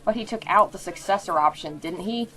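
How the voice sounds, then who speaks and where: A woman's voice: medium pitch, brisk, a bit bright, close to an everyday voice, low-quality recording. A woman playing a blunt former team member in an audio drama, wry and casual.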